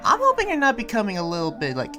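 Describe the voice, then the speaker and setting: A man's voice: high-pitched, wildly swooping pitch, fast. A man voicing an earnest young town preacher in an improvised anime game roleplay, explaining things in a rambling, hesitant way, with music behind him. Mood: reassuring.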